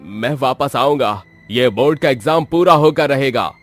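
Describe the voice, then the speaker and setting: A man's voice: medium pitch, lively intonation, moderate pace, voice pushed up, lightly acted. Man voicing a menacing vengeful ghost teacher, music behind. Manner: threatening, commanding. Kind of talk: dramatic horror story character acting.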